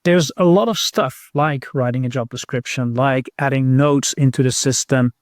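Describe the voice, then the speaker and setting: A man giving conversational interview answers as a podcast guest, in a measured, hesitant way as he thinks aloud. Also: mid-range voice, no room sound, clean studio sound. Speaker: podcast guest, recruitment technology professional.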